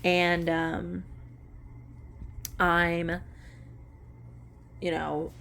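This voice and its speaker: A woman's voice: lowish voice, monotone, extremely slow, gravelly, a bit bright, slight background noise. A woman in her late twenties chatting casually while sorting cash into budget envelopes, rambling and correcting herself with small laughs. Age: late 20s. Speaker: budgeting vlogger.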